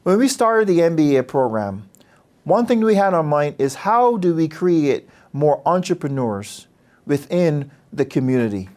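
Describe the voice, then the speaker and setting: A man from Barbados, a business school dean speaking about his program in a measured, earnest, persuasive way. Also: on the high side, sing-song, a little mellow. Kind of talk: promotional interview talk.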